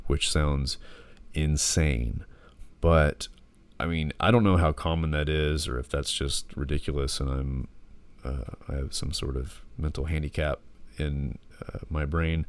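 A man in his thirties with a deep, gravelly, hoarse voice, rambling reflectively in a casual solo podcast. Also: no room sound. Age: 30s. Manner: rambling, reflective, many fillers. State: hoarse from a respiratory infection. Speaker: podcast host.

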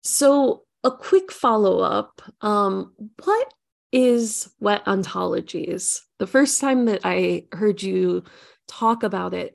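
Woman podcast host, really slow, heavy vocal fry, curious and gentle. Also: medium pitch. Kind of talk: interview question.

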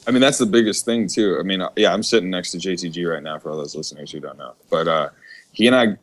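A man's voice: low-pitched, fast talker. A man talking casually as a podcast guest, slangy and given to casual swearing. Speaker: podcast guest, music producer. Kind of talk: casual chat.

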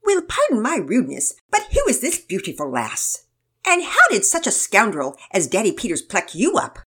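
A woman's voice: mid-range voice, wildly swooping pitch, moderate pace, bright, forceful tone, slightly rough, full character voice. A woman voicing a jolly great-uncle who puts on a British accent with exaggerated, theatrical surprise for a children's story. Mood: mock gallant. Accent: put-on British. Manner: theatrical, exaggerated mock astonishment. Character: joking great-uncle playing a jolly mock British gentleman.

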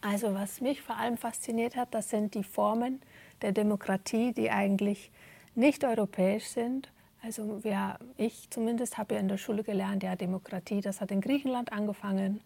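Woman interview guest, husky with some vocal fry, reflective and hesitant, informal conversational delivery. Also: mid-range voice, steady tone, normal speed, warm tone. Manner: thoughtful, hesitant, searching for words. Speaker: interview guest, theatre performer.

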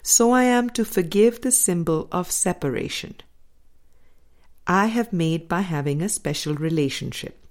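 A woman reading a spiritual book aloud as scripted narration, in a measured, deliberate way with pauses between phrases. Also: fairly low pitch, a little mellow. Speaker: audiobook reader.

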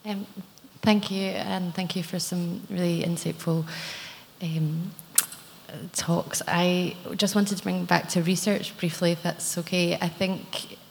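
A woman's voice: on the low side, fairly even intonation, takes it slowly, gravelly. A woman in a conference audience politely and hesitantly asking the panel a question. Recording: reverberant hall with faint room noise.

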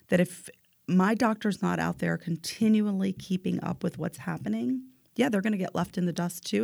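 A woman's voice: lowish voice, creaky, darkish tone, dry recording, clean studio sound. A woman in her forties talking emphatically in a casual health chat, often tagging her points with "right?". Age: 40s. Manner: emphatic, keeps asking "right?".

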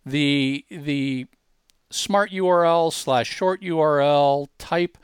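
Smooth-voiced man explaining casually, close and dry. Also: fairly high pitch, slow, no echo. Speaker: podcast co-host giving a software demonstration. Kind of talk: casual explanatory talk, walking through features.

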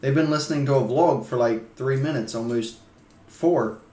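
A man's voice: mid-range voice, normal speed, dark tone, echoey room, far from the mic. A young man hosting a casual vlog, restless and easily annoyed, chatting loosely with a friend. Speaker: vlog host. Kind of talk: casual chat.

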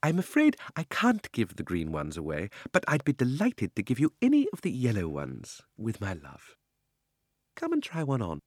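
A sinister old gentleman magician from a children's storybook. He is oily and mock-courteous, swooping theatrically between drawn-out relish and sudden gushing, in a close, dry sound. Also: mid-range voice, huge pitch swings, no echo, pitched above the performer's own voice. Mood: oily, coaxing. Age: older. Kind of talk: dramatic audiobook character reading.

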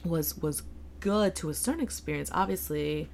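A woman in her thirties with a low, gravelly voice talks casually through a family story. She uses lots of "like" and "you know" and restarts her sentences often. A faint room hum sits behind her. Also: moderate pace, a little mellow. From United States. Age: 30s. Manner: chatty, many fillers and restarts, quotes relatives in asides. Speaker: guest. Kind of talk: casual conversation, personal storytelling.

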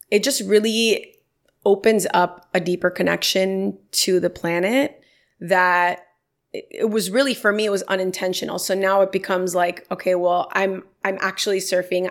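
A woman's voice: fairly low pitch, creaky. A woman in her thirties, telling stories in a casual interview and livening them up when quoting people. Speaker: podcast guest.

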